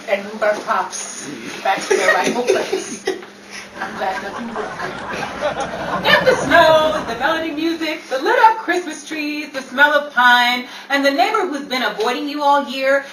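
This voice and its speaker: A woman's voice: sits high, pushed and bright. A woman announcing and narrating in 1950s radio style, theatrical and playful, with ad-libs. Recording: roomy, noisy distant live-stage recording with crowd.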